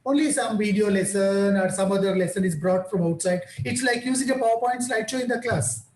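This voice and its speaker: A man's voice: high-pitched, fairly quick, slight background noise. Indian-accented male lecturer, methodical, roomy video-call sound. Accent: Indian English. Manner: explanatory, repeats parallel phrases. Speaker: guest lecturer. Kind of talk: answering questions at an online conference.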